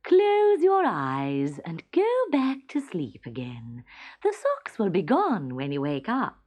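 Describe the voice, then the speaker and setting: A woman voicing a bossy, sharp-tempered storybook queen with an imperious, scolding manner. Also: lowish voice, huge pitch swings, slow, dull audio, heavily acted. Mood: smug.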